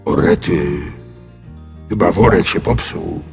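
A kindly old dragon from a children's tale, acted out by a man, mumbles in a deep, gravelly voice. He draws his words out slowly and calmly, a little forgetful. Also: long reverb tail, soft background music, full character voice. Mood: gentle, approving. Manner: unhurried, drawn-out, muttering to himself. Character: calm, kindly, absent-minded old male dragon.

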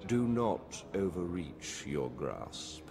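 Deep, haughty villainous space lord. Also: male voice, big pitch swings, slow, vocal fry, full character voice. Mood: menacing. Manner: haughty, menacing, formal. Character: proud, threatening male Sith lord. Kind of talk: video game villain acting. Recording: loud game music behind.

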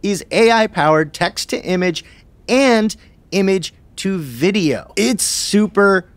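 Dude in his 30s doing a casual tutorial, chatty, kinda high voice, dry close mic. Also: expressive, takes it slowly, no echo. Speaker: video tutorial host. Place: small home studio. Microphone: close broadcast mic on boom arm.

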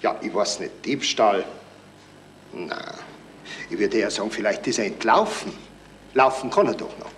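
An actor playing a dismissive, offhand police desk officer with a Bavarian accent and a dry wit, in a 1970s radio crime comedy. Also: male voice, fairly low pitch, animated, moderate pace, pauses often, fairly bright tone. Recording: 1977 radio play, faint noise.

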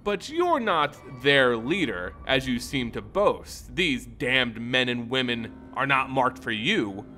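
A man's voice: medium pitch, big pitch swings, slow pace, few pauses, bright, forceful tone, soft background music, heavily acted. A man playing a proud, shrewd holy warrior in a fantasy story, stern and challenging. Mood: belittling.

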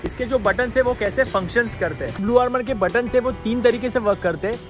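Male Indian-accented motovlogger, higher register, step-by-step how-to delivery over music. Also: brisk. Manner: explanatory, mixes English terms into Hindi. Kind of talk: tutorial vlog to camera and voice-over. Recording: music behind the voice. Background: background music.

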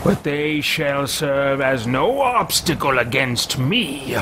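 A man's voice: medium pitch, animated, takes it slowly, background noise. A man in his thirties voicing an arrogant, self-absorbed mage adventurer in a pompous, grandiose way, recorded at a desk at home. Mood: haughty. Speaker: let's play host roleplaying a character. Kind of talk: in-character roleplay over a video game. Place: room at home, indoors.

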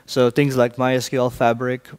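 A man from Malaysia giving a technical talk in a large conference hall, briskly quizzing the audience. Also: mid-range voice, flat delivery, a little mellow, clear, resonant tone. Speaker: conference speaker.

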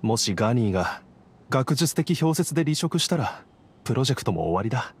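A man's voice: medium pitch, big pitch swings, a little fast, breathy edge. A man playing a resigned, overworked graduate student in a Japanese game, speaking in a subdued way.

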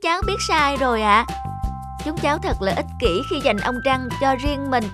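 A woman voicing an excitable young child monkey in a children's cartoon, with a childlike, exclaiming delivery. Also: high-pitched, moderate pace, over music. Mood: polite, eager. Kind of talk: animated children's fairy tale acting.

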